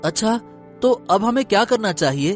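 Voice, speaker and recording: A man's voice: high-pitched, expressive, normal speed, soft background music. A man playing a timid, deferential villager in a cartoon story, hesitant and rustic in manner. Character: timid, deferential village men: henchman and anxious farmer father. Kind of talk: Hindi cartoon story acting.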